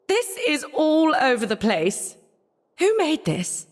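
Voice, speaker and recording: A blunt cake judge. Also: female voice, high voice, sing-song, slow, a little hiss or hum, full character voice. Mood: critiquing. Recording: game audio, reverb, music behind.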